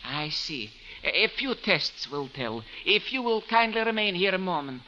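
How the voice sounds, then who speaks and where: An actor playing an elderly, fussy professor of antiquities who speaks in stiff, formal phrases and stammers a little. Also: male voice, sits high, animated, normal speed, pushed and bright, slight background noise, heavily acted. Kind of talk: 1940s radio acting. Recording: dull old radio recording.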